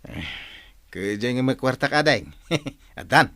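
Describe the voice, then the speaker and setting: An actor playing a lazy, shifty, grumbling gambler in a radio drama, whiny and evasive. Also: male voice, medium pitch, expressive, takes it slowly, fairly bright tone, no room sound, studio quality. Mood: wheedling. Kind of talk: Ilocano radio drama acting.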